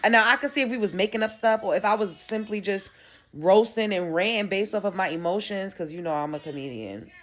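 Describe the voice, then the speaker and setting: A woman's voice: medium pitch, a little fast. A woman, ranting bluntly and profanely as an online commentator and comedian. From Brooklyn, New York. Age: 30s. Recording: roomy echo.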